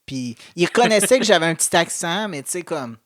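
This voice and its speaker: A man's voice: sits high, animated, fairly quick, a bit bright, no room sound, studio quality. A man with a Québécois French accent, co-hosting a podcast and telling rambling stories in casual chat. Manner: rambling, many fillers, laughs while telling stories. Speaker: podcast co-host.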